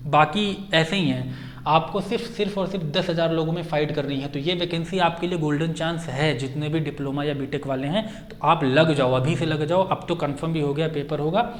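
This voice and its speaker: Guy teaching exam prep, voice a bit high, casual explainer, repeats the key bits, lots of english words thrown in. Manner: repeats key phrases for emphasis; mixes in many English words. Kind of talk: informal explanatory exam update talk.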